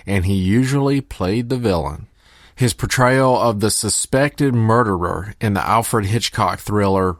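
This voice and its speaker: A man's voice: lowish voice, dry recording, studio quality. A man hosting a podcast, giving casual commentary on old radio shows. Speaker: podcast host.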